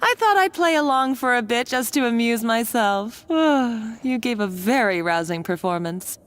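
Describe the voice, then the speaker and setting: A woman's voice: on the high side, expressive, unhurried. A woman playing a scheming, bossy female villain in a video game, with a mocking, condescending, theatrical delivery. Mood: amused, triumphant. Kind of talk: dramatic video game voice acting.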